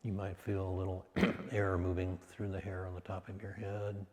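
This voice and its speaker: A man leading a guided meditation as its teacher, soothing and unhurried, speaking in short phrases with long pauses. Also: deep voice, monotone, a little mellow. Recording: hall-like long reverb.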